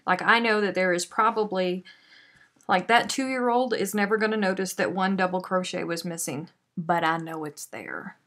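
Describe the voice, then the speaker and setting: A woman's voice: lowish voice, steady tone, normal speed, a bit bright. Chatty woman hosting a live craft stream. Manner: chatty and self-deprecating, with sighs and laughs. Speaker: host of a live crochet stream. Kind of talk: casual chat while crocheting, reading viewer comments.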